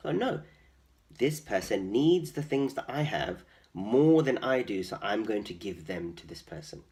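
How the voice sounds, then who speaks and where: A man's voice: on the low side, sing-song, a little slow, a little mellow. A man hosting storytime, reading aloud expressively for young children and explaining with questions. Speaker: storytime host and reader.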